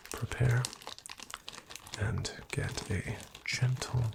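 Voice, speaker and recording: A man whispering as a formal, dryly ironic doctor in a soft-spoken ASMR roleplay. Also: mid-range voice, extremely slow, vocal fry, very noisy.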